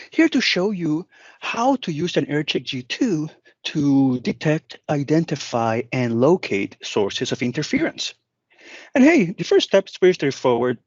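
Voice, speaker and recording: Guy doing a software demo voiceover, chatty explainer, really up and down pitch, dry slightly muffled mic. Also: no echo. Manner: conversational, explanatory, addresses the viewer. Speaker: product manager presenting a product demo. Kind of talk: software walk-through tutorial.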